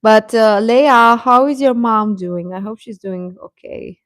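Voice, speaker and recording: A woman's voice: fairly high pitch, unhurried, dry recording, studio quality. A young adult woman hosting a live stream, chatting informally with her viewers and often chuckling as she talks.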